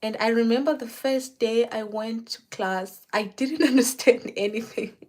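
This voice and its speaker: A woman's voice: fairly high pitch, moderate pace, darkish tone. A woman from Zimbabwe, chatting casually with a client while styling her hair, often repeating words as she restarts her sentences. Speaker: hairstylist.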